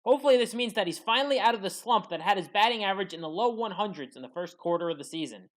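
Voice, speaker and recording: A woman delivering a scripted play-by-play recap as a sports highlights commentator. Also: animated, rapid-fire.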